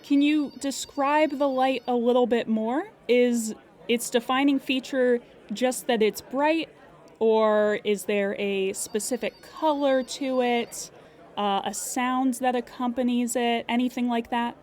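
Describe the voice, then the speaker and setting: Casting: unflappable call-centre claims agent, slightly high pitch, measured, courteous and matter-of-fact, for comic audio fiction. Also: female voice, steady tone, a little mellow. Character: calm, formal, genderless insurance claims phone agent. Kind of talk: improvised comedic audio drama phone call.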